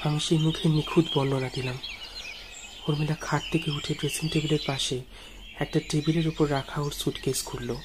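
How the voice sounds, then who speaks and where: A man's voice: a little high, steady tone, moderate pace, background noise. A man narrating a ghost story dramatically in the first person, as a storyteller. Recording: music behind the voice.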